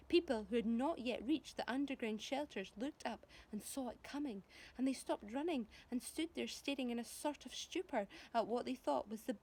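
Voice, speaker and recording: A woman with a fairly high voice narrates a children's storybook. She is expressive and animated, shaping the story for young listeners and addressing them directly like a primary school teacher.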